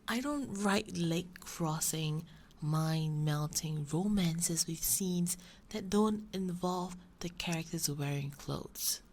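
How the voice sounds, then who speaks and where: Slow, flustered young woman writer voiced by a woman. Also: fairly low pitch. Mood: embarrassed, exasperated. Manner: hesitant, rambling, soft-spoken, self-justifying. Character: flustered young woman romance novelist, shy, rambling roommate. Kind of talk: ASMR roleplay monologue. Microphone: binaural ASMR microphone. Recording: faint noise, binaural microphone.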